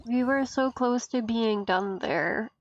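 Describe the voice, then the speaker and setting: A woman's voice: on the high side, slow, no room sound, lo-fi sound. A woman chatting casually during a gameplay stream. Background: game music.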